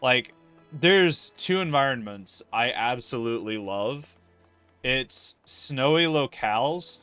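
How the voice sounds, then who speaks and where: A man's voice: medium pitch, animated, slow pace, sharp tone, smooth, dry recording, soft background music. A man casually commentating a livestream of an online fantasy game, rambling and thinking aloud with casual swearing.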